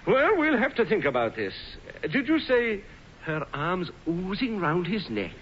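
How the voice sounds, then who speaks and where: An actor playing a pompous, fussy older uncle, easily flattered, in a 1940s radio comedy. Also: male voice, high voice, a little slow, dull audio, full character voice. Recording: noisy, band-limited 1940s radio recording.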